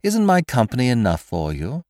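A man voicing a smug, dapper gentleman villain in a storybook reading, teasing his victim with theatrical mock politeness. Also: mid-range voice, huge pitch swings, moderate pace, no room sound, clean studio sound, voice pushed up.

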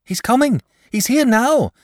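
A man voicing an eager, excitable young village boy who pleads breathlessly in a storybook reading. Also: extremely high pitch, unhurried, no room sound, clean studio sound, pitched above the performer's own voice, full character voice. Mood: excited.

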